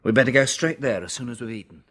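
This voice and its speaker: An actor playing a cautious, pragmatic survivor of a catastrophe in a radio drama, speaking firmly and matter-of-factly. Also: male voice, medium pitch, quick pace, a bit bright, no room sound, natural voice. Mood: practical. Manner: matter-of-fact, firm. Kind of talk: radio drama acting and narration.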